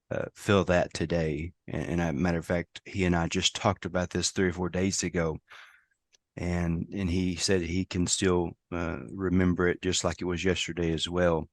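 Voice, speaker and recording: A man from the United States, a safety professional, talking hoarsely in a casual interview, halting with many restarts. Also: low-pitched, flat delivery, dry recording. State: hoarse from a lost voice.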